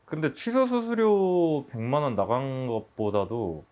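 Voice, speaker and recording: An animated speaker with a medium-pitched voice and very lively intonation chats casually among teammates, acting out remarks as they go. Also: measured pace, a crowd in the background. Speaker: member of an art production team. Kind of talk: casual group discussion.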